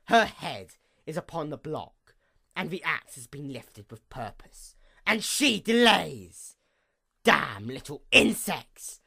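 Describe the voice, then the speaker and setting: A woman voicing a proud, wounded pony goddess of night in a theatrical villain monologue, menacing and grandiose with a gravelly creak. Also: low voice, a bit bright, full character voice. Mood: brooding impatience, then scornful anger. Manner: theatrical, menacing, grandiose, with slow drawn-out emphasis. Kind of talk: dramatic villain monologue, fan fiction reading.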